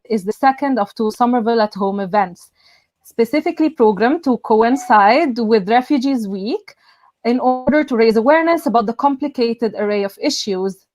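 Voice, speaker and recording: A young woman from Egypt whose first language is Arabic opens an event with a prepared speech. Her voice sits fairly high, and she speaks in an earnest, measured way with soft "um"s. The sound is dry and close, as on a video call. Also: dry recording. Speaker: event host, student union president.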